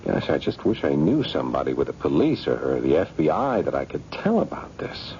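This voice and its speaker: Radio play lead: troubled skeptical husband, low voice with wide swings, earnest, muffled old recording. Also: male voice, very animated, a little mellow. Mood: worried, insistent. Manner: earnest, halting when pressed. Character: uneasy, skeptical married man caught in intrigue. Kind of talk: 1970s radio drama acting, first-person narration. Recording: dull, faintly noisy old radio recording.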